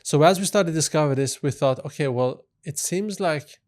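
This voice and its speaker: A man from Sweden, a guest CEO on a podcast, telling his story in an easygoing, soft-spoken way with frequent "you know" fillers. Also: a little high, normal speed. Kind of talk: relaxed storytelling in an interview.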